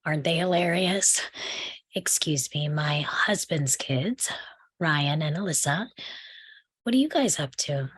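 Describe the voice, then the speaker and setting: A woman's voice: low-pitched, lively intonation, a little slow, fairly bright tone, slightly rough, exaggerated voice. A woman playing a falsely cheerful, competitive socialite stepmother in a screenplay table read, sugary and politely needling. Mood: breezy cheer.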